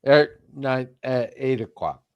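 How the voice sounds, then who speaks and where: Laid-back slow-talking man on a stream. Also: mid-range voice, smooth, dry recording, muffled recording. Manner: laid-back, short dry replies. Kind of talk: casual group chat on a live stream.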